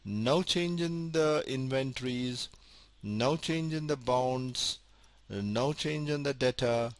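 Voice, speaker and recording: A man giving a recorded accounting lecture, methodical and slow to stress key points, often repeating them. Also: medium pitch, no room sound.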